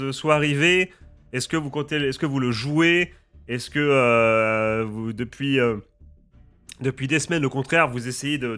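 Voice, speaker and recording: A man with a slight head cold, casually explaining a game challenge's rules to his viewers in a lively, chatty way, with faint music playing behind him. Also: medium pitch. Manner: lively, addresses viewers as friends and guys. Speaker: gaming YouTuber and streamer.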